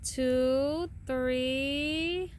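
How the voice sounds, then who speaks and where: Woman, high voice, patient sing-song read-aloud for a young child, unhurried, faint background noise. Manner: sing-song, patient, pauses to count and point. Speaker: parent reading aloud to a child. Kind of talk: picture book read-aloud to a small child.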